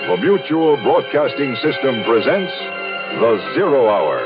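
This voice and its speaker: An American man works as a network announcer, with a lively, swinging intonation. The delivery is classic seventies radio sponsor copy. Music is prominent behind him on a dull recording. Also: mid-range voice, unhurried.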